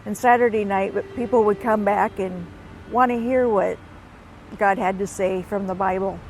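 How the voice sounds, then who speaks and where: A woman's voice: medium pitch, fairly even intonation, moderate pace, slight background noise, rough recording. A woman telling personal stories in a documentary interview about her work as a ministry host. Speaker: military hospitality ministry worker. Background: background music.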